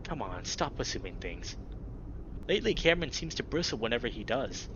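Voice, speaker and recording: A young adult man reading a visual novel aloud with expressive narration and excitable, emphatic commentary. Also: mid-range voice, fairly quick, background noise. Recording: slightly dull audio, music behind.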